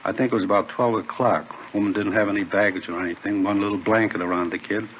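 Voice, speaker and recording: Man playing fast-talking, wheedling hotel clerk. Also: lowish voice, steady tone, lightly acted. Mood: informative, eager. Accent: American. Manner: hedging, wheedling, talkative. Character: ingratiating, money-minded male hotel desk clerk. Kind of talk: 1950s radio drama acting. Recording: tinny, hissy vintage radio recording.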